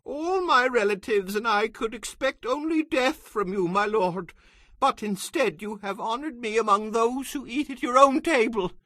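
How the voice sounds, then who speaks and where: A man's voice: very high voice, normal speed, bright, forceful tone, no echo. An actor playing a wronged, deferential man pleading earnestly before his king in a dramatized scripture reading. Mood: pleading, devoted.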